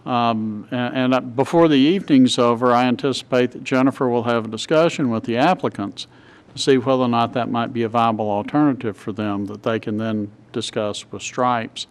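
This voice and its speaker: A man's voice: medium pitch, smooth. A man on a city planning commission, losing his voice, explaining an option at a public meeting with restarts. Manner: measured, explains at length with restarts and apologies. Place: city council chamber.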